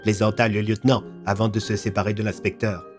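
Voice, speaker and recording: A man's voice: on the low side, little pitch movement, fast talker, soft background music. A man narrating a scripted audio story as its storyteller.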